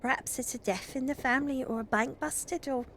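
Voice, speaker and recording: A woman's voice: high voice, fairly even intonation, brisk, a bit bright, a little hiss or hum, voice pushed up. A woman voicing an anxious, gossipy, deferential young parlour maid in a storybook reading. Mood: alarmed.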